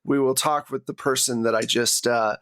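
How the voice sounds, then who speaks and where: A man's voice: mid-range voice, fairly even intonation, normal speed, a bit bright, no echo, clean studio sound. A man narrating a tabletop roleplaying game and hosting casually as game master. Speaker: game master and podcast host.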